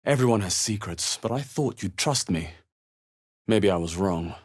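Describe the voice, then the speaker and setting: A thoughtful, guarded male adventurer from a fantasy video game. His voice sits fairly low and has a husky grain. He delivers measured, theatrical remarks, close and dry. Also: lively intonation, no echo. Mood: quiet, reflective. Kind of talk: video game character voice lines.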